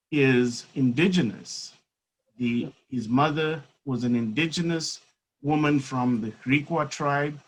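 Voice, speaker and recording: A man in his fifties from Africa, telling his personal story as a guest speaker in a measured, unhurried way with frequent pauses. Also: medium pitch, a bit bright, poor audio. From South Africa. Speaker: guest speaker, seminary academic. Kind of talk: reflective personal storytelling in conversation.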